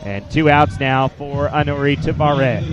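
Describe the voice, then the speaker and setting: A man's voice: on the high side, faint music behind. Young man calling baseball play-by-play. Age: 20s. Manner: measured, descriptive, fills pauses with statistics. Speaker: play-by-play sportscaster. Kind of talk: live radio baseball play-by-play commentary.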